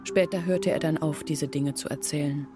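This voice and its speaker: An actress playing a grieving young village woman who tells of her family's downfall in an earnest, measured radio drama narration. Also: low-pitched, steady tone, music in the background, natural voice. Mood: sorrowful, earnest.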